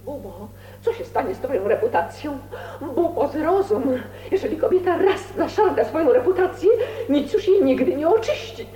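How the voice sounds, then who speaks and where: A woman's voice: high voice, big pitch swings, normal speed, lo-fi sound, a crowd in the background. An actress playing a domineering middle-aged mother in a radio drama, indignant and lamenting over respectability. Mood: scandalized, scolding.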